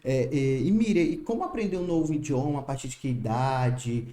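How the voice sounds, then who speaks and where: A man hosts an interview, asking his questions hesitantly and restarting them with repeated words. His voice is mellow and sits in a middle pitch. The room around him is echoey. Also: very animated, fairly quick. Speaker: interview host.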